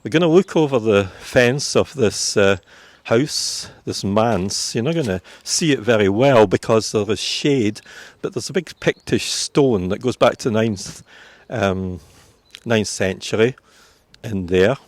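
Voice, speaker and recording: A Scottish tour guide with a low-pitched voice talks visitors through a village churchyard outdoors. He explains the sights at an unhurried pace and fills his pauses with ums and uhs. The sound is dry, with little room tone. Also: no room sound. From Scotland. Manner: informative, unhurried, with frequent fillers. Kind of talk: guided walking tour commentary.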